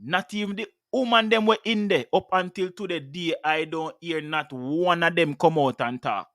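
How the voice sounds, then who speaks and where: A man in his thirties with a Jamaican accent speaks with a bright, forceful voice into a close studio mic. The sound is dry, and he talks with passion, often repeating words as he pushes his point as host. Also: a little high, normal speed, no echo. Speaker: talk show host. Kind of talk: heated casual discussion. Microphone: studio mic with headphones.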